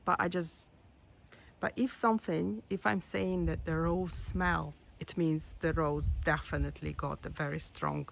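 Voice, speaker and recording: Woman, hoarse, gravelly, slow, lowish voice, throat clearing, outdoor phone recording, faint background noise. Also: poor audio. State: hoarse, losing her voice. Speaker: garden vlogger. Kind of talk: casual garden tour. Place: outdoor rose garden.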